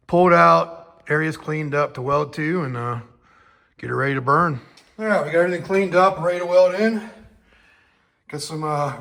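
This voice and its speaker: A hoarse man who is losing his voice after being sick, talking casually through a repair job in his home garage, rambling with lots of fillers. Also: a little high, fairly bright tone. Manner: rambling, easygoing, many fillers. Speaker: host of a home auto repair channel. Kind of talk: casual talk-through of a shop project.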